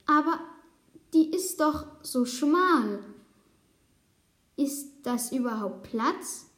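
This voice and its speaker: A woman's voice: high voice, animated, extremely slow, exaggerated voice. A woman voicing a naive, anxious but playful mother cow in a children's storybook, hesitant and exclamatory. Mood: worried, hesitant. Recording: roomy, echoing sound.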